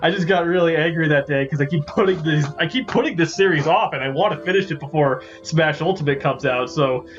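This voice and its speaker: A man with a slightly high voice hosts a gaming session. He rambles along casually and chattily as he comments on play. The mic sounds muffled and lo-fi, and game music plays faintly underneath. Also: a little fast, hardly any pauses. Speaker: host of a gaming series.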